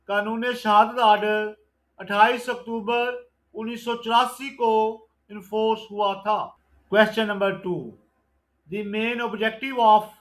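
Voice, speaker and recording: Male, Pakistani accent, high pitch, extremely slow pace, formal scripted lecture narration, measured pauses. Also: a bit bright, low-quality recording. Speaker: law exam lecturer. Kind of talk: scripted lesson narration, reading quiz questions and explaining answers.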